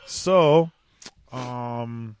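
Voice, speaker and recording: A man's voice: medium pitch, very slow. A young man hosting a crude, casual podcast, laid-back and swearing often. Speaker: podcast host.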